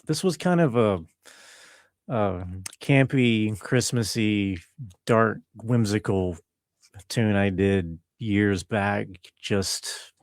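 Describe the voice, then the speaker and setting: A man chatting casually as an interview guest, explaining his creative work in a laid-back way with pauses. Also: lowish voice, no echo, studio quality. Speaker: interview guest, composer and musician. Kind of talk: casual conversational interview talk.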